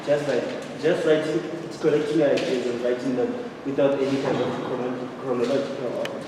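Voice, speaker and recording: A man's voice: mid-range voice, flat delivery, a little fast. A man answering a question in a writing workshop, hesitant and searching for words. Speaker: workshop participant. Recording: echoey hall, distant mic, background noise.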